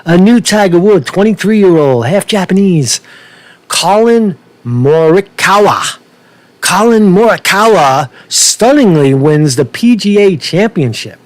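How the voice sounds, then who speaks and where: Male commentary host, slightly high voice, profane and slangy, loose rambling delivery that stumbles and repeats. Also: expressive, unhurried, dry recording. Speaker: host of his own news commentary show. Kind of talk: casual rambling news commentary.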